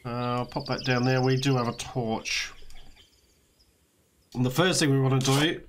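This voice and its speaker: An Australian man, slightly hoarse, giving a relaxed walkthrough of a video game he is playing, with frequent fillers. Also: medium pitch, measured pace, slight background noise. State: slightly hoarse, losing his voice. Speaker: let's play host. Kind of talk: casual gameplay walkthrough commentary.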